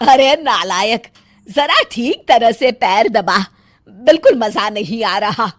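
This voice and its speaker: Woman voicing a shrill scolding old mother-in-law. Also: animated, takes it slowly. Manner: scolding, shouting insults. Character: bossy harsh older mother-in-law. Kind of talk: storybook character voice.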